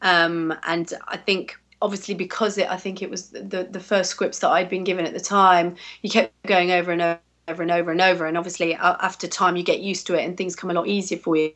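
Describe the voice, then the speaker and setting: A woman's voice: on the low side, flat delivery, a little fast, a bit bright. An English woman, a voice actress, chatting casually in an interview and rambling through fillers and restarts. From England.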